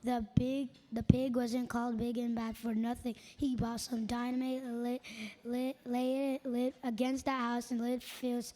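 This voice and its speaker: A woman narrating a children's story aloud as part of reader's theatre, reading deliberately with some stumbles. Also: on the high side, a little mellow.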